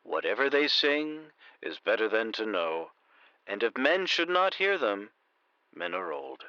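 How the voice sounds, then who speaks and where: A man's voice: on the low side, very animated, measured pace, sharp tone, clean tone, no room sound, dull audio, heavily acted. An actor playing a pompous, easily flustered stage magician in his sixties, a former English teacher, in a comedy audio drama. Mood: reverent recital. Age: 60s.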